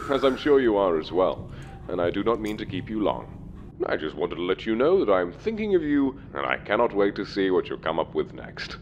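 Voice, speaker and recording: A man's voice: big pitch swings, brisk. A man voicing a friendly, encouraging monster king in a comic dub, courteous and formal. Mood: cheerful, encouraging. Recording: dull muffled audio, loud rumbling noise.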